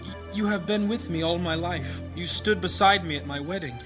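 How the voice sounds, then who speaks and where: A high-pitched male priest in a fantasy audio drama played by an actor, devout and deeply troubled. He prays in earnest, halting and pleading, with prominent music behind him. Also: natural voice. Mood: pleading, hurt. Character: troubled small-town priest, devout husband and father. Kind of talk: audio drama acting, prayerful monologue.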